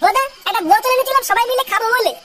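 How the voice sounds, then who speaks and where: An extremely high, squeaky cartoon young guy. He rattles out crude, excitable banter very quickly, over clear background noise. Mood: grumbling. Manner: crude, rapid slangy banter. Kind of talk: comedy cartoon dialogue.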